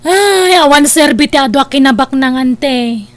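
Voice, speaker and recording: A woman's voice: high voice, animated, normal speed. A woman playing a complacent married older sister in a family radio drama, dismissive and preachy, scornful of wealth. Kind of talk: Ilocano radio-style family drama acting.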